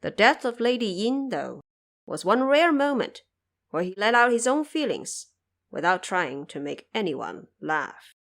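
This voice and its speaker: Female podcast host, scripted commentary. Highly varied intonation, medium pitch, rhetorical delivery. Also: very animated, a little slow, creaky, a bit bright. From Cantonese-speaking region.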